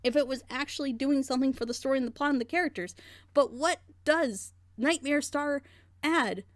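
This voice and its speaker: A woman's voice: high-pitched, moderate pace, no room sound, clean studio sound. A woman hosting a group reaction video, critiquing a story in a passionate, rambling way. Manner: frustrated, rambling, emphatic. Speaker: reaction channel host.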